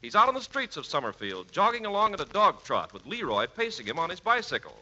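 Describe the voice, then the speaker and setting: A man's voice: on the high side, wildly swooping pitch, fast talker, pushed and bright, slight background noise. A man narrating a comedy as announcer in scripted 1940s radio style. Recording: muffled vintage radio recording.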